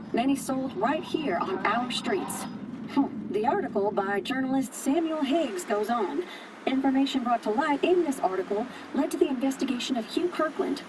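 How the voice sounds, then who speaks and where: An actress playing a chatty, folksy local radio news presenter in a video game, telling the story with dramatic flair. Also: female voice, sits high, distant mic, noisy background.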